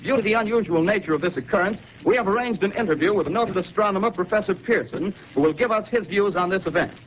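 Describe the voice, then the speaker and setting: An actor playing a male studio radio announcer in a 1930s radio drama, with formal, crisp announcing. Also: high voice, quick pace, a little hiss or hum, close to an everyday voice. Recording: tinny 1930s radio broadcast.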